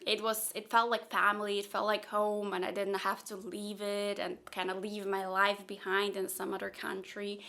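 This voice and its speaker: Young woman, from Slovakia, Slovak first language, bright voice, chatty, lots of fillers, casual vlog Q&A. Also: medium pitch, monotone, normal speed, gravelly, hardly any pauses. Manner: chatty, thinks aloud with many fillers. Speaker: software engineer and content creator. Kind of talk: casual Q&A vlog, answering viewer questions.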